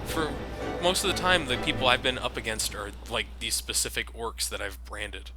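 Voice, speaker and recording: A man's voice: medium pitch, expressive, moderate pace, sharp tone. Casual man commentating over game music. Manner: informal, sweary. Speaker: gameplay commentator. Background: game music and sound.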